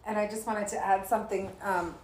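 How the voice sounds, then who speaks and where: A woman's voice: mid-range voice, steady tone, fairly quick, darkish tone, roomy sound, low-quality recording. A woman hosting a conversation, telling a reflective story and thanking her guests. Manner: storytelling, reflective, laughs often. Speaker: host. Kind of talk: warm conversational podcast chat.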